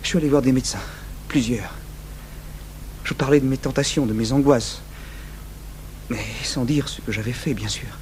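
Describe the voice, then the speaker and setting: A man's voice: medium pitch, lightly acted. Man acting a tormented confessing killer, noisy old recording. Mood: anguished. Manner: anguished, halting, swinging from outbursts to hushed murmurs. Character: tormented, remorseful man confessing his murderous compulsion. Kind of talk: French radio drama acting. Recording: noisy, humming 1980s radio broadcast tape.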